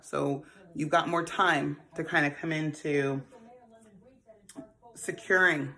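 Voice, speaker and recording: Female host, 40s, low-pitched with a gravelly edge, casual and playful. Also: steady tone, takes it slowly, sharp tone. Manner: chatty, laughs often, calls listeners honey and baby. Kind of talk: casual financial advice talk.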